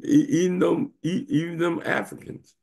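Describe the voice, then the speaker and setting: A man's voice: fairly high pitch, flat delivery, moderate pace, a little mellow. A man in his seventies hosting an interview over a video call, talking in an emphatic, wandering way with many fillers. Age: 70s. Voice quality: gravelly, husky. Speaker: show host and professor. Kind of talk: casual interview talk.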